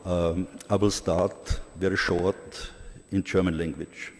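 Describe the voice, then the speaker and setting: A man's voice: deep voice, level tone, slight background noise. A man with a heavy Austrian accent giving a formal, earnest conference speech, sometimes stumbling over words. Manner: earnest, halting on some words. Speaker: conference speaker. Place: large conference hall. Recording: long hall reverb.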